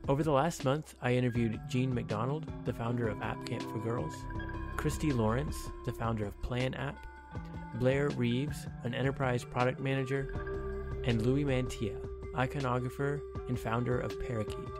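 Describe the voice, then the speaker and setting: A man hosting a podcast, reading his intro and then chatting with a guest in a relaxed, slightly halting way. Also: mid-range voice, loud music behind the voice. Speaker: podcast host.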